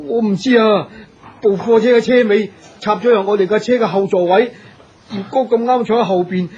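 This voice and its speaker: A man's voice: sits high, steady tone, normal speed, a little mellow, breathy edge, muffled recording. A man with a Hong Kong Cantonese accent playing an anxious, deferential chauffeur, flustered and apologetic, in a radio drama. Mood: distraught.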